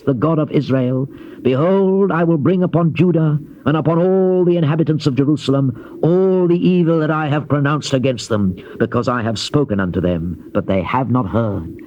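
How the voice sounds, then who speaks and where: An actor playing a grave Old Testament prophet in a dramatized scripture reading, declaiming in measured phrases. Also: male voice, a little high, a little mellow, natural voice. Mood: stern, solemn. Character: solemn male Old Testament prophet recounting God's warnings. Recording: dull noisy old cassette tape.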